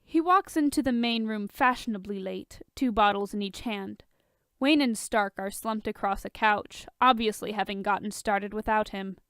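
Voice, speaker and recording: A woman's voice: mid-range voice, moderate pace, a bit bright, clean tone, no room sound, clean studio sound. A woman reading a fan fiction story aloud as scripted narration. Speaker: audiobook reader of fan fiction.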